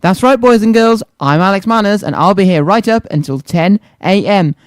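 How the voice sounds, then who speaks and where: High-pitched man hosting children's radio. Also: lively intonation, normal speed, clear, resonant tone, faint music behind. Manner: addresses young listeners directly with repeated phrases and questions. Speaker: children's radio show host. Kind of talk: scripted-sounding live radio presenting to children.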